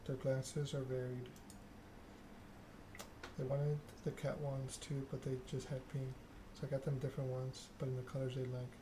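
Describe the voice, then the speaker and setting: A man streaming a text game, reading narration aloud in a soft-spoken ASMR style with casual chat. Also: medium pitch, level tone, moderate pace. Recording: clear background noise.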